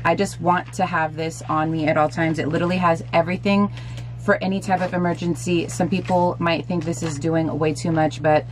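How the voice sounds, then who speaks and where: Chatty woman with a lowish, slightly hoarse voice. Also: level tone, a little fast, low-quality recording. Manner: chatty and upbeat, addresses viewers directly. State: slightly hoarse, losing her voice. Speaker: lifestyle vlogger. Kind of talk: casual product show-and-tell. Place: small bedroom, indoors.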